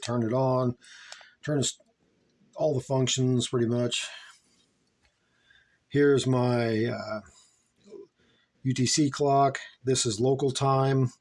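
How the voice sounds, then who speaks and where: A man from the United States talks through a hobby tour in a medium-pitched voice. His delivery is casual and unscripted, plain-spoken and folksy, wandering a bit as he goes. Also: a little mellow. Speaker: shortwave radio hobbyist giving a tour. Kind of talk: casual unscripted vlog narration.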